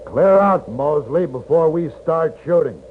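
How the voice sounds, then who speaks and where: A man playing a steady western gunfighter, firm but compassionate, in a 1950s radio drama. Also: fairly high pitch, measured pace. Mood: commanding, threatening. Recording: noisy, tinny old radio broadcast.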